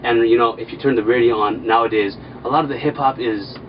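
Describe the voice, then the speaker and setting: A man's voice: medium pitch, steady tone, fast talker. A young man from the American Midwest interviewing a guest in a laid-back, slangy way, with rambling questions. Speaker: interviewer, nonprofit president. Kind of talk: casual interview. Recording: distant, dull, noisy.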